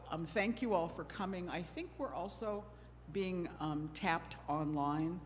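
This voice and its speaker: A middle-aged woman from Oregon moderating a church panel discussion, chatty and a bit nervous, joking at her own expense. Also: low voice. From Oregon, USA. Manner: nervous, self-deprecating, chatty with laughs. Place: church hall. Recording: long hall reverb with faint noise.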